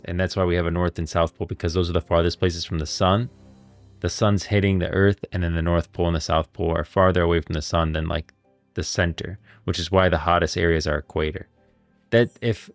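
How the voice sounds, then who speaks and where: A man with a deep voice talks casually and emphatically, like a streamer sharing strong opinions on game lore. The sound is dry and close to the microphone, with faint music underneath. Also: no room sound. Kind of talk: casual livestream commentary. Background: background music.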